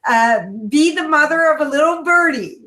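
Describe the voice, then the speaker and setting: A woman's voice: high voice, moderate pace, pushed and bright, echoey room. A woman, a guest on an interview show, giving emphatic, coaching advice and addressing listeners directly. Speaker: guest, kindness speaker.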